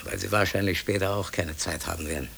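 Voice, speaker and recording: An actor playing a despairing middle-aged unemployed man who pleads haltingly, in a German radio play. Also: low-pitched, steady tone, vocal fry, fairly bright tone, a little hiss or hum. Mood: resigned.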